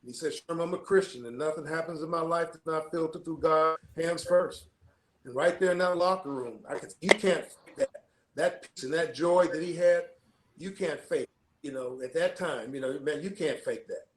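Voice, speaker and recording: A man's voice: fairly high pitch, normal speed. Earnest man in an echoey room. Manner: earnest, with repeated emphatic phrases. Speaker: guest football coach. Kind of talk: heartfelt personal testimony.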